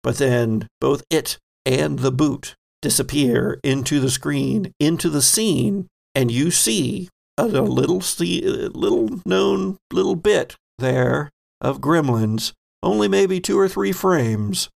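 A man narrating a tabletop horror game as game master, telling it in suspenseful, descriptive phrases with a gravelly creak. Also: fairly high pitch, expressive, slow, few pauses, a little mellow.

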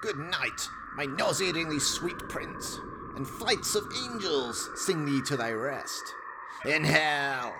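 A man's voice: a little high, slow pace, very noisy, pitched above the performer's own voice. A man playing a gloating, grandiose evil archfiend in a horror radio play, with a mocking theatrical delivery. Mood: gloating menace. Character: unseen evil archfiend, gloating theatrical mad-scientist villain.

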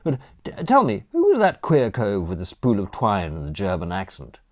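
Man as affable, muddled gentleman, swooping pitch. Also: wildly swooping pitch, normal speed. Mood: puzzled, cheerful. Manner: hesitant, chummy, muddled. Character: affable, dim-witted upper-class English gentleman, easily baffled. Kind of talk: audiobook character dialogue.

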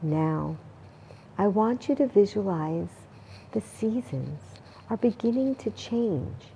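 A woman leading a guided meditation in a calm, deliberate manner, leaving long pauses between short phrases. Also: medium pitch, gravelly, slight background noise, rough recording. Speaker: meditation guide, spa owner and life coach.

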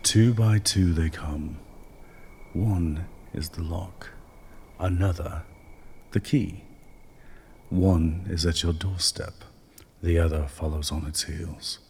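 A man playing a cryptic, taunting doctor who speaks in slow, portentous riddles in a radio play. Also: sits low, steady tone, gravelly, a little mellow, slight background noise, heavily acted. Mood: cryptic, ominous. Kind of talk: radio drama acting.

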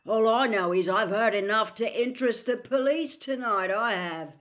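A woman voicing a gruff, defiant working man in an audiobook, with a rough, blunt, indignant delivery. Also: on the high side, moderate pace, fairly bright tone, voice pushed up, natural voice. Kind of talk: audiobook character acting.

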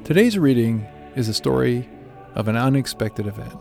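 A man with a mid-range voice delivers a scripted scripture reflection. He speaks in a measured, explanatory way, with faint music behind him. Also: mid-range voice, animated, vocal fry, darkish tone. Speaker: devotional speaker.

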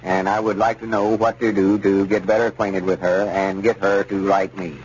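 An actor playing a self-important, easily exasperated old country storekeeper with a rural Arkansas accent, drawling and folksy in 1930s radio comedy style. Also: male voice, low-pitched, little pitch movement, normal speed, a little mellow, lightly acted. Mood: explaining. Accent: Southern US, rural Arkansas. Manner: folksy, know-it-all, drawling. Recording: dull, noisy 1930s radio recording.

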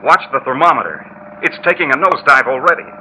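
A man's voice: medium pitch, animated, quick pace, bright, forceful tone, a little hiss or hum, low-quality recording. An actor playing a trusting, earnest inventor betrayed by his partner, delivered with emphatic old radio horror drama acting. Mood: eager, excited. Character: trusting, eager male inventor, now a bitter dead narrator. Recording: old 1930s-40s radio broadcast recording.

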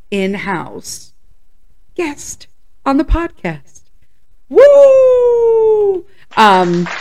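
A woman in her fifties from Chicago, hosting a casual solo podcast chat. She is slightly hoarse and congested, and she talks candidly with bursts of excited whoops. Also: mid-range voice. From Chicago, USA. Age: 50s. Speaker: podcast host.

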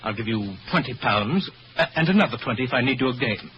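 A male actor as a haughty, demanding gentleman with a medium-pitched, strongly swinging delivery, curt in an old radio drama style. The recording is dull and faintly noisy. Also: lively intonation, fast, close to an everyday voice. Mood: demanding, indignant. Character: arrogant, brusque, threatened English expatriate gentleman.

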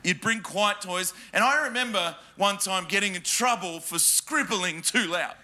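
A man's voice: high voice, a little slow, sharp tone, slight background noise. An Australian man preaching a sermon in church, emphatic and joking with the congregation, often asking them questions. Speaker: pastor. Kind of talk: sermon with personal stories. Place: church hall before a congregation.

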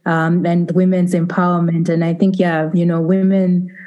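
A woman's voice: fairly low pitch, flat delivery, moderate pace, dark tone, highs cut off. A woman hosting a conversational interview podcast, rephrasing herself as she goes in an encouraging way.

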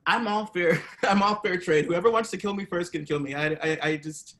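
A man's voice: high voice, normal speed, fairly bright tone. A man improvising an oblivious, rambling, flustered newspaper editor in a comedy skit. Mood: resigned, flustered.

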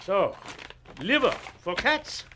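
An actor playing a talkative, cajoling, good-natured but grumbling butcher in an old-time radio drama. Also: male voice, sits high, big pitch swings, takes it slowly, noisy background, heavily acted.

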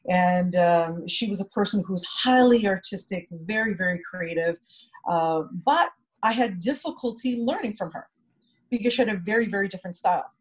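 Woman, podcast co-host, husky voice, candid reflective storytelling, repeats words for emphasis. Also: medium pitch, normal speed, poor audio. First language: English.

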